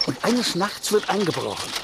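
A man's voice: high voice, very animated, moderate pace, very noisy. An actor playing a proud, boastful former factory watchman in a radio drama. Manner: boastful storytelling.

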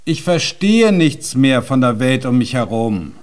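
An actor playing a deaf, cantankerous old composer in a German radio drama, speaking in an ornate, pompous and convoluted way. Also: male voice, medium pitch, big pitch swings, measured pace, darkish tone. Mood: agitated.

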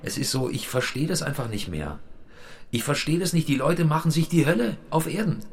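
A woman's voice: low voice, sing-song, fairly quick, darkish tone, a little hiss or hum. A woman acting in an improvised radio comedy as a frustrated free-love idealist in her forties, speaking emphatically. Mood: exasperated. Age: 40s. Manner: emphatic, exasperated.

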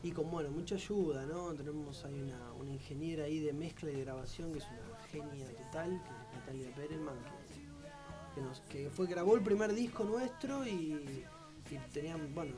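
A band member calmly explains the band's independent work during a radio interview. The voice has a medium pitch, and music plays clearly in the background. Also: moderate pace. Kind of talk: casual interview answers.

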